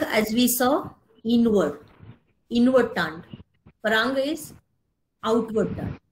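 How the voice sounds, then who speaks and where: Higher-voiced Indian woman lecturing in an echoey room. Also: slight background noise. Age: middle-aged. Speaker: speaker giving a yoga philosophy talk. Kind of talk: lecture with explanations of terms. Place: indoor room, online meeting. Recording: roomy, echoing virtual meeting audio.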